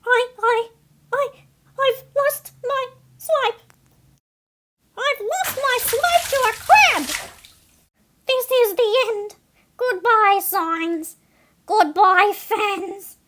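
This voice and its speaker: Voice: extremely high pitch, extremely slow, long pauses, background noise, exaggerated voice. A reader voicing a boastful, melodramatic seagull in a children's picture book, with a brash, wisecracking, theatrical delivery. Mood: despairing. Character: boastful, melodramatic cartoon seagull, streetwise snack thief. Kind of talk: animated picture-book read-aloud for children.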